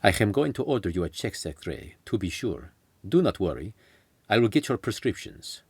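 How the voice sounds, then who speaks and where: A calm doctor in his thirties, as a male narrator puts him on, speaking in a low, husky voice that rises and falls expressively. He is formal and clipped, reassuring his patient in a storybook reading. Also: normal speed, no room sound, heavily acted.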